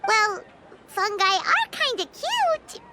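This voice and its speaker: A woman voicing a tiny childlike fairy companion in a video game, cute, chatty and naive, with a squeaky, expressive delivery. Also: slow pace, very noisy, heavily acted. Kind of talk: English video game voice acting.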